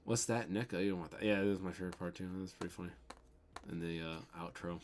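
A man's voice: low voice, fast, slight background noise. Rambling young Midwestern guy on a livestream. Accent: Midwestern American. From American Midwest. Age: young adult. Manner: rambling, loose, swears casually, many ums. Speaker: toy unboxing livestream host. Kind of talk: casual livestream chat reading viewer comments. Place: bedroom, indoors.

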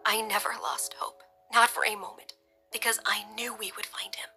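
Woman acting a breathy, tender young mother. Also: fairly high pitch, fairly even intonation, normal speed, sharp tone, soft background music, voice pushed up. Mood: tearful relief. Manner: murmuring, breathy whispering. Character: tender, steadfast young mother, tearful but hopeful.

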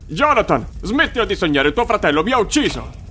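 A man's voice: high-pitched, very animated, brisk, over music. A man voicing cartoon characters in a parody fan dub, with a comic, exaggerated delivery. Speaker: voice actor.

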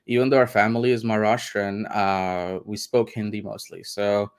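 A man from India talks as a guest over a video call from home. He is relaxed and thoughtful and often hedges. His voice is lowish, smooth and clear. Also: moderate pace. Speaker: interview guest. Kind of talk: casual interview.

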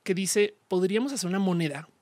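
A woman in her thirties with a Colombian accent, a podcast guest explaining things casually with slang and acted-out exchanges, on a studio boom mic. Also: fast talker, no room sound. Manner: animated, slangy, acts out quoted exchanges. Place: small indoor studio. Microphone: studio mic on boom arm, headphones.

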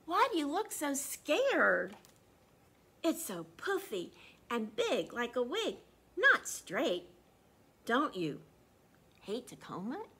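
A woman's voice: high-pitched, huge pitch swings, slow pace, pauses often, bright, forceful tone, slightly rough, exaggerated voice. A woman voicing a teasing young child in a children's storybook, mocking and emphatic.

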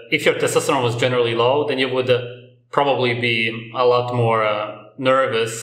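A man's voice: medium pitch, fairly bright tone. Blunt man with a roomy echo. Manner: dismissive, blunt critique. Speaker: reaction video host. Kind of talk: commentary over clips.

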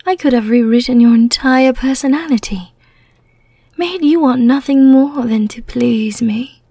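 A woman voicing a teasing, menacing yet merciful female vampire in an ASMR roleplay, in a whispery, deliberate way. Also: high voice, warm tone, dry recording, clean studio sound, heavily acted. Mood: taunting, menacing. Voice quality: breathy, whispery.

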